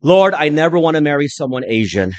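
A pastor from the Philippines giving a sermon in short phrases, telling personal stories and pausing for an interpreter. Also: male voice, fairly high pitch, very animated, no echo, highs cut off.

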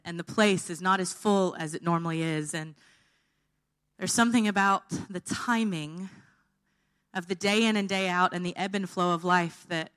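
A woman's voice: on the low side, a bit bright. A woman preaching an informal sermon, her voice tired and held back, often checking in with her listeners.

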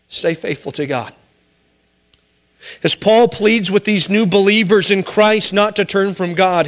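A male pastor delivers a sermon in a noticeably high voice. He pleads earnestly with his listeners, then shifts into a story full of humorous asides.

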